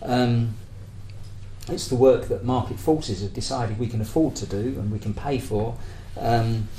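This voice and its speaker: The recording is echoey, with faint noise behind the voice. A British man speaks at a medium pitch as a union speaker making a political argument. His delivery is discursive and hesitant, often feeling for the right word. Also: measured pace, warm tone. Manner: hesitant, searching for words, discursive. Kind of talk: conference talk, semi-prepared political argument.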